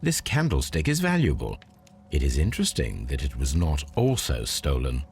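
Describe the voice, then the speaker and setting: An actor playing a composed, analytical Victorian English gentleman detective in a video game, musing precisely and deliberately. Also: a bass voice, huge pitch swings, slight background noise, exaggerated voice.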